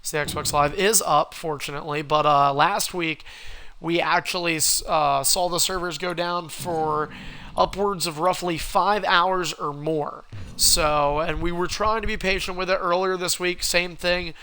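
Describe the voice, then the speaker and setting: A man with a cold, sounding hoarse, hosting a game livestream and calling the action play-by-play like a wrestling announcer. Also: on the high side, steady tone, measured pace, pushed and bright. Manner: wrestling-announcer calls, rising and punchy. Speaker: stream host and commentator.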